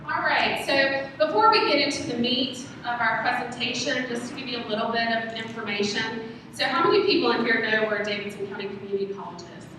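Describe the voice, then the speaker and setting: A woman with a loud voice, presenting at a conference as director of a college learning center, chatty and asking the audience questions. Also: a little high, a little fast. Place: conference room. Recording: echoey hall, distant mic, faint noise.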